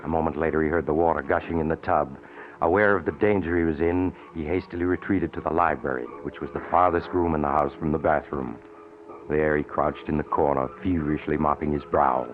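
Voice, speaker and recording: A man's voice: very deep voice, fairly even intonation, fairly quick. A man narrating a suspense tale in a grave, dramatic vintage radio style. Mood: tense. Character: grave male narrator of mystery tales. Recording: noisy, tinny old radio recording.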